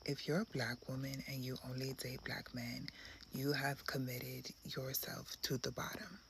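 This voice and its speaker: A woman's voice: very deep voice, flat delivery, normal speed, gravelly, heavy background noise. A woman giving dating advice in an emphatic, lecturing monologue.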